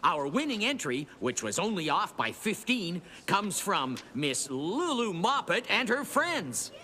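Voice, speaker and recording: A man's voice: high-pitched, big pitch swings, unhurried, noisy background. A man voicing a showy department store contest announcer in a children's cartoon, theatrical and grandiose. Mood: grand.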